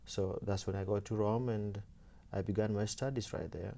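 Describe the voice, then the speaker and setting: A young man from Kenya, a seminarian guest, talking casually and softly with many fillers. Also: on the low side, steady tone, normal speed, vocal fry. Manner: soft-spoken, many fillers, laughs easily. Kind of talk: casual interview talk.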